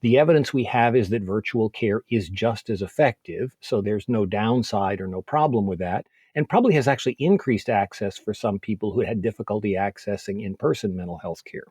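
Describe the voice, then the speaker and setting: Low, slightly husky male medical expert. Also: darkish tone, no room sound, clean studio sound. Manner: measured, methodical, explains point by point. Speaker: physician, expert interview guest. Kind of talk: explanatory medical interview.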